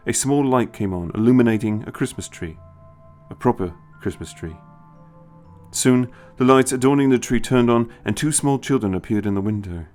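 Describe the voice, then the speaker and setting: A man narrating a scripted story in an expressive storytelling style. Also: on the low side. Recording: music behind the voice. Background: music.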